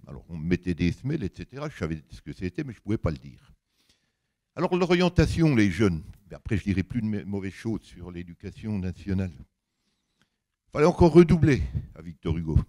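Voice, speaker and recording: An older man with a big voice giving an anecdotal public talk, colloquial and emphatic, acting out bits of dialogue as he tells it. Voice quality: big deep voice. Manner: animated storytelling, colloquial, emphatic, acts out reported dialogue.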